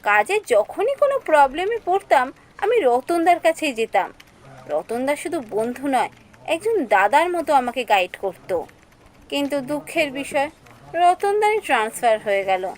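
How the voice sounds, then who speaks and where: High, lively young woman office worker voiced by actress. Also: moderate pace. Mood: wistful. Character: earnest young female office worker narrating her own eerie story. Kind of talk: animated cartoon story acting and narration.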